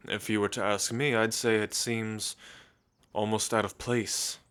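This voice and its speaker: A man playing a refined, centuries-old gentle vampire in an intimate ASMR roleplay, speaking in measured, old-fashioned phrases with thoughtful pauses. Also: lowish voice, little pitch movement, clean tone. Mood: intrigued, courtly.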